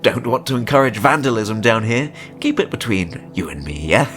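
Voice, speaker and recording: A man's voice: medium pitch, very animated, normal speed, a bit bright, faint music behind. A man voicing a beaming, over-friendly male theme park manager in an audio story, folksy and patronizing with a hint of menace. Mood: falsely friendly.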